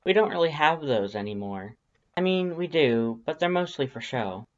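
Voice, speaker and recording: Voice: medium pitch, lively intonation, normal speed, close to an everyday voice. An awkward, sardonic homebody , played by a story narrator, speaking in a hesitant, self-deprecating way. The delivery is casual and the sound is slightly dull. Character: awkward, sardonic, sleepy homebody telling their own day.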